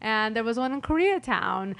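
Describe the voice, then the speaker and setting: A woman's voice: a little high, expressive, normal speed, vocal fry, fairly bright tone. A young woman from Los Angeles co-hosting a podcast, chatting in a bubbly way with lots of "like" fillers. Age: young adult. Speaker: podcast co-host. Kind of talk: casual podcast chat.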